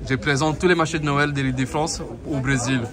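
Chatty Brazilian vlogger at a busy market. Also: male voice, a little high, fairly even intonation, fairly quick. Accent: Brazilian Portuguese. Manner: chatty, addresses viewers often, switches to careful French with vendors. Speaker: travel vlogger. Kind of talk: casual walking vlog, chatting with vendors. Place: outdoor Christmas market. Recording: busy crowd noise outdoors. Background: market crowd.

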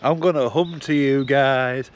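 A man's voice: medium pitch, steady tone, a little slow. A man from Yorkshire with the local accent talks casually to his vlog viewers. He takes his time, chatty and informal with a joking streak. The audio is a little dull, with faint background noise from recording on location. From Yorkshire, England. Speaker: van-life vlogger.

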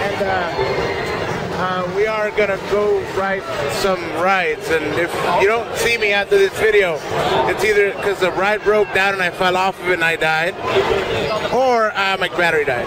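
A young man vlogging outdoors at a theme park with a phone at arm's length, chatty and joking. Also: high voice. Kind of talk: casual handheld vlog. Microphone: phone held at arm's length. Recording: loud crowd noise at a theme park.

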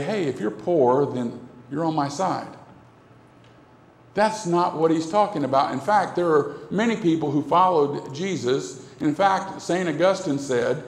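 A middle-aged man preaching a sermon at a pulpit microphone in a church, earnest and repetitive, with broad gestures. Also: a little high. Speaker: pastor. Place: church sanctuary, indoors. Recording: echoey hall from a far camera.

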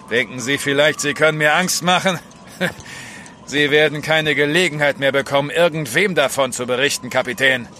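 An actor playing a smug, menacing mutineer in an audio drama, with a mocking, taunting manner. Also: male voice, on the high side, normal speed, pushed and bright, a little hiss or hum. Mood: gloating menace. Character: smug, menacing male mutineer seizing a ship. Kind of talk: German radio play acting.

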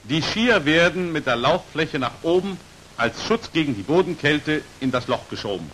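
A man's voice: fairly high pitch, very animated, normal speed, fairly bright tone, low-quality recording. A man narrating an old 1940s instructional film in a precise, formal manner, on an aged film soundtrack. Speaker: narrator of a military training film. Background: hiss.

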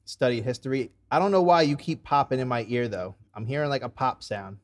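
A man's voice: mid-range voice, a little fast. A young man hosting a casual podcast on a headset from his room at home, rambling with restarts and casual curses. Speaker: podcast host. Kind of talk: casual chat. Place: home room with red lights on video call.

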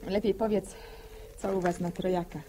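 A woman's voice: on the low side, steady tone, normal speed, noisy background, lightly acted. An actress playing a proud, self-reliant young village woman, plain-spoken and earnest, in a 1960s radio drama. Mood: tender, guarded. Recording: old 1960 radio drama recording.

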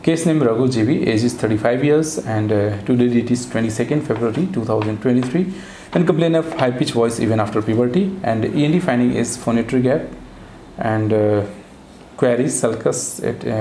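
Man, Indian English accent, speech therapist, warm voice, formal measured delivery, lapel mic, echoey clinic room, faint hiss. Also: mid-range voice. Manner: formal, measured case notes. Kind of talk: clinical interview. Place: clinic office with banner.

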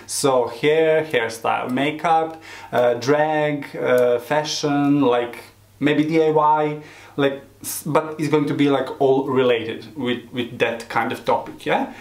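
A man of about forty with a Serbian accent, chatting casually to camera in halting non-native English. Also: a little high. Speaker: beauty vlogger, hairdresser and drag queen. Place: small room indoors. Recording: roomy, echoing home recording.

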